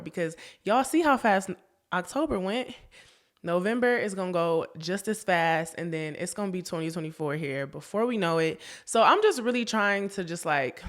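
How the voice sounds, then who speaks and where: A woman's voice: lowish voice, fairly bright tone. Chatty sniffly young woman podcast host. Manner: chatty, laughs often, talks straight to listeners. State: sniffly, getting over a cold. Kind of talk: casual chat.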